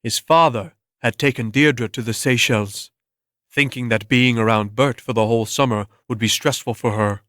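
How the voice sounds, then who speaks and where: A man's voice: medium pitch, steady tone, moderate pace, fairly bright tone, no room sound. Male narrator for a dramatic story, mid-range voice, scripted storytelling read, dry acoustic with no room sound.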